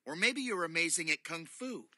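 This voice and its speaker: A middle-aged man reading a children's bedtime story aloud in an animated, playful, theatrical way from a cosy studio armchair. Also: on the high side, normal speed, dry recording.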